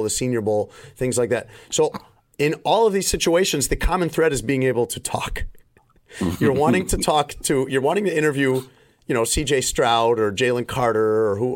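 A man's voice: mid-range voice, fairly quick. Chatty male podcast host, rambling. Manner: rambling storyteller, frequent fillers. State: recovering voice, slightly limited range. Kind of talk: casual podcast chat.

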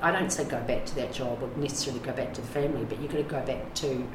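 Middle-aged New woman, very deep voice, frank and rambling, echoey room with noise. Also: rapid-fire. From New Zealand. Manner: frank, rambling, many fillers and restarts. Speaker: addiction recovery navigator. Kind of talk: candid interview talk.

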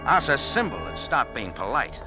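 A man's voice: mid-range voice, very animated, over music, natural voice. An actor playing a tough, wry cafe owner who narrates his own adventure in 1940s radio style. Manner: tough, wry, quick wisecracks. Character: hard-boiled cafe owner, wisecracking narrator. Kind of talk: 1940s radio acting and first-person narration.

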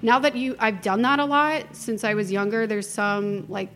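A woman's voice: mid-range voice, fairly even intonation, moderate pace, fairly bright tone, slight background noise. A young adult woman, an opera singer, chatting as a podcast guest, candid and laughing often. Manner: laughing, candid, self-mocking. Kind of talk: casual chat.

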